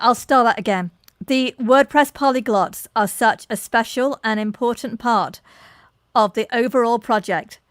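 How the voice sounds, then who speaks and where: A woman's voice: on the high side, no room sound, clean studio sound. A woman hosting a live online panel, effusive, with frequent restarts. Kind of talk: enthusiastic live-stream moderating.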